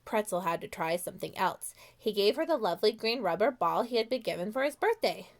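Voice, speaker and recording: A woman reading a picture book aloud to young children in an expressive way, with dramatic pauses and questions to her listeners. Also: mid-range voice, a little hiss or hum. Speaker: children's storytime reader.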